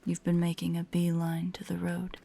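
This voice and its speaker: A woman playing a predatory, teasing spider-woman monster in a horror audio roleplay, with a breathy, whispery voice and a taunting, menacing manner. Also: on the low side, monotone, warm tone. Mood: playful menace. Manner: slow, taunting, playfully sinister.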